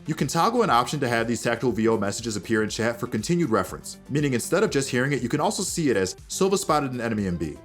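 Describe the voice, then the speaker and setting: A man's voice: medium pitch, sing-song, very fast, few pauses, soft background music. A man hosting a gaming news update in a scripted, presenter-like narration, speaking directly to viewers. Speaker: host of a gaming news show.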